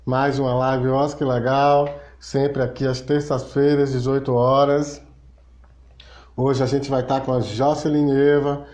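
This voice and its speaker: A man's voice: medium pitch, flat delivery, measured pace, a little mellow. A man with a Brazilian Portuguese accent hosting a live stream in a casual, chatty, welcoming way. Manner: informal and welcoming, greets viewers by name. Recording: dull lo-fi online stream audio.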